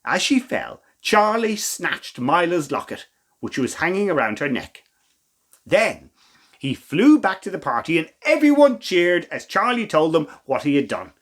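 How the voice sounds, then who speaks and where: A man reading a children's story in an expressive, theatrical way. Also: on the high side, a little slow.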